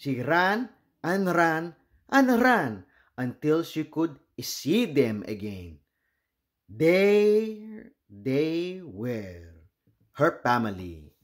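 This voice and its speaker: Slow, lively man reading a picture book. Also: a little high. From Philippines. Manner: expressive, deliberate storytelling for young children. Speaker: children's storybook reader. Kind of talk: read-aloud picture book narration.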